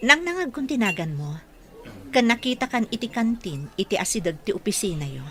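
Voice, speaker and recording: A Filipino woman playing an insistent stranger in a radio drama, measured and pointed as she warns. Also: medium pitch, very animated, natural voice. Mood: polite.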